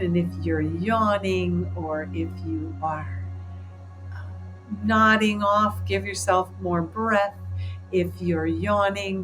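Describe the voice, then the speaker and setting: Woman leading guided meditation over music. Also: mid-range voice. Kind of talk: guided meditation, speaking to a group. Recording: clear background music under the voice.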